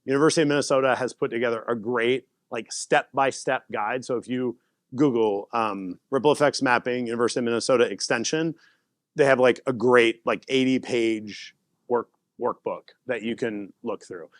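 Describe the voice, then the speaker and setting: A man giving a casual conference talk and answering audience questions, animated and laughing often. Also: medium pitch, moderate pace, no echo, clean studio sound. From United States. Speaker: presenter.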